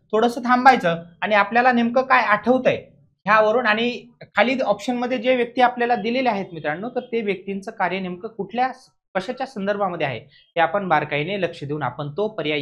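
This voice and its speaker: A man's voice: sits high, a bit bright. A man with a Maharashtrian accent lecturing as an exam coaching teacher, emphatically repeating key points and putting questions to students that he answers himself.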